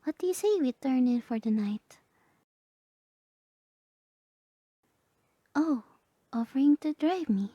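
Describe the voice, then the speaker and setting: A woman voicing a sweet, excited young woman on a date, girlish, gushing and soft, in an ASMR roleplay. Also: sits high, moderate pace, husky, no room sound, dull audio, pitched above the performer's own voice. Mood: sweet, coy.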